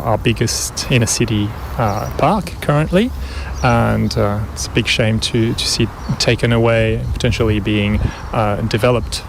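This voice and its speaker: A man's voice: mid-range voice, gravelly. A man from France, interviewed outside in a city park, talking casually with many fillers and restarts. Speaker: interviewee, event organiser. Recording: noisy outdoor field recording. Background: traffic and city ambience.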